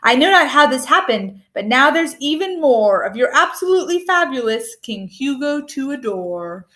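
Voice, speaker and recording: A woman's voice: sits high, very animated, moderate pace, bright, forceful tone, exaggerated voice. A woman voicing a vain, blustering storybook king who exclaims in a grandiose, boastful manner. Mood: grandly boastful.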